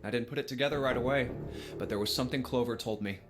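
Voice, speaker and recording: A man's voice: medium pitch, fast, close to an everyday voice. An actor playing a determined young man who reasons out an accusation step by step in a visual novel game, with music behind him. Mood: explaining, serious. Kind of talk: dramatic visual novel dialogue acting. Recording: game audio under prominent music.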